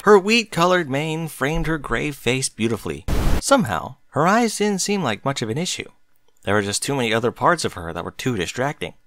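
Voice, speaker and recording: A man reading a story aloud as an expressive narrator. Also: mid-range voice, a little fast, background noise.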